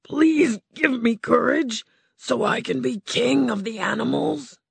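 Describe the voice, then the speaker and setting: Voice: high voice, slow, creaky, husky, no room sound, pitched above the performer's own voice, heavily acted. A narrator voicing a timid cowardly lion. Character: timid male lion, always afraid.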